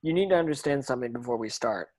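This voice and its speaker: A man's voice: mid-range voice, lively intonation, fast, darkish tone, natural voice. A man reading the part of an earnest teenage boy wizard instructing his schoolmates in an amateur script read-through. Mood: earnest, instructive. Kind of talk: amateur table read of a screenplay.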